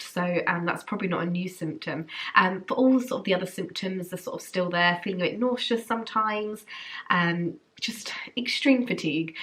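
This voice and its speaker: A woman's voice: lowish voice, pushed and bright. A young woman with a cold, sounding a bit nasal and congested, chatting casually to camera with lots of ums and likes. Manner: rambling, many ums and likes. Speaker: vlogger.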